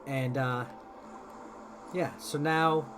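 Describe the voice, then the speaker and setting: A man's voice: medium pitch, fairly even intonation, very slow. A man giving informal, rambling gameplay commentary as a video host, explaining things step by step. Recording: music behind the voice. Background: game music.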